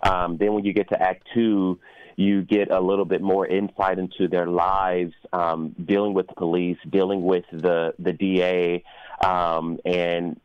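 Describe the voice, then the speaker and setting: A man speaking as an interview guest, explaining things in a measured, thoughtful and articulate way. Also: low-pitched, level tone, smooth, no room sound, dull audio. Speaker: opera performer and guest.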